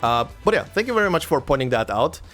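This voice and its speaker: Halting Croatian man, mid-Atlantic accent. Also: on the high side, sing-song, fairly bright tone, faint music behind. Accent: mix of American and British English, slight German tinge. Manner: halting, many fillers and repeated words. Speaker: let's play host. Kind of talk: casual chat to viewers.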